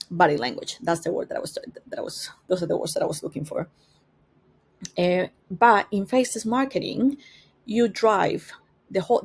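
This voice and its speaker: A woman's voice: lowish voice, vocal fry, a little mellow. A woman with a strong Spanish accent, a native Spanish speaker, teaching an informal marketing class in a conversational way and often searching for words. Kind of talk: informal master class talk.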